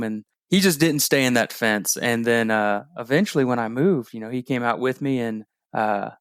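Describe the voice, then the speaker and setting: A man guest on a podcast, telling stories in a relaxed way with frequent fillers. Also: mid-range voice, clear, resonant tone, dry recording, studio quality. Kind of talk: casual conversation.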